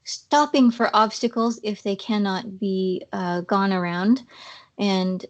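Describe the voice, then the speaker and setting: Slow-talking woman, slightly scratchy voice. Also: mid-range voice, steady tone, muffled recording. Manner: explanatory, uses fillers. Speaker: podcast guest. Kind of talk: casual interview.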